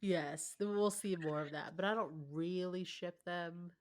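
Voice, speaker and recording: A woman's voice: fairly low pitch, a little slow, no echo, studio quality. A woman co-hosting, reading fan fiction aloud casually and breaking off for wry, colloquial commentary.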